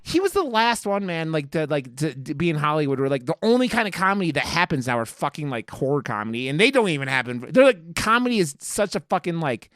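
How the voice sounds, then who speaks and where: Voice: mid-range voice, big pitch swings, quick pace, hardly any pauses, fairly bright tone, no echo. A podcast host chatting casually about movies in an emphatic, rambling, swear-heavy way. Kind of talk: casual chat, movie discussion.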